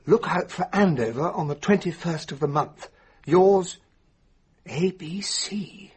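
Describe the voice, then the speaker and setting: An actor playing a genial, candid English gentleman, the loyal friend of a detective, bluff and expressive in a radio drama. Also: on the high side, slow, a little hiss or hum. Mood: reading aloud. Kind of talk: radio drama acting and first-person narration.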